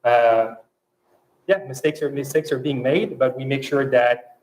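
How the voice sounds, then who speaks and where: A man from France speaking English with a French accent, a guest at a fireside chat who talks in halting phrases with many restarts and fillers. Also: mid-range voice, steady tone, a little mellow, roomy sound, a little hiss or hum, low-quality recording. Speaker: panel guest.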